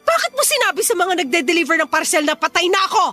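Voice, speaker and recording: A woman's voice: extremely high pitch, very animated, quick pace, music in the background. An actress with a Filipino accent playing an exasperated, confrontational woman neighbour in a radio drama. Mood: hurt, indignant. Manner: indignant, confrontational, demanding.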